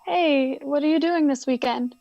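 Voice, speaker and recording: A young married daughter in a radio drama, subdued and weary. Her voice is high and natural, on a dry, slightly dull recording. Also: moderate pace, creaky, mellow, dry recording. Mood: casual.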